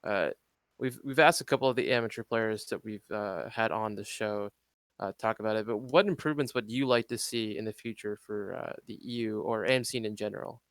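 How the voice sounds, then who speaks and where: Smooth-voiced man asking questions. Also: lowish voice, no room sound. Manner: relaxed questioning with "uh" pauses. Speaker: podcast co-host. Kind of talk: casual podcast interview.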